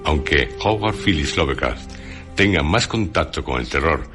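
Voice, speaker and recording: A man's voice: rumbling low, expressive, normal speed, fairly bright tone. A man narrating a scripted radio documentary in a formal, literary way. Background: music.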